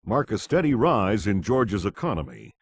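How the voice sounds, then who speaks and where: News anchor, authoritative, formal, low pitch, animated scripted delivery, game cutscene. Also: male voice, normal speed, a little hiss or hum. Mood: formal, newsy.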